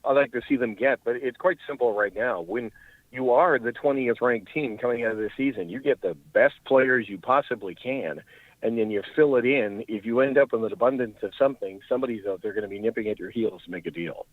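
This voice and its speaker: A man's voice: medium pitch, fairly quick, no echo. Older man on a phone line. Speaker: radio play-by-play commentator as call-in guest. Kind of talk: casual sports commentary. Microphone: phone call-in. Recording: muffled narrow phone line.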